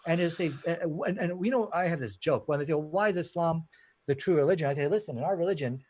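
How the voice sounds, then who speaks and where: A man's voice: a little high, fast, a little mellow. A man from Pakistan arguing emphatically in a live online debate. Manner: emphatic, combative, fond of "you know".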